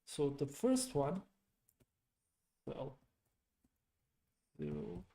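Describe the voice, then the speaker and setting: A man thinking aloud as he debugs code at his desk, slow and halting, muttering to himself. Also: medium pitch, huge pitch swings, dark tone. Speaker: programming streamer.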